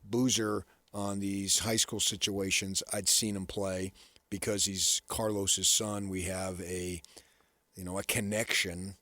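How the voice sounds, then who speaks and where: A low-voiced American man gives casual sports commentary as a radio co-host. He speaks in a halting way, circling back to restate his points, and the sound is dry and close. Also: level tone, bright, forceful tone, dry recording.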